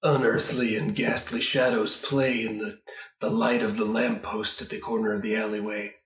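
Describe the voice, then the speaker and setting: A man narrating an improvised radio play in a rambling, halting way, often trailing off. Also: on the low side, level tone, a bit bright, distant mic, soft background music. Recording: echoey room, off the mic.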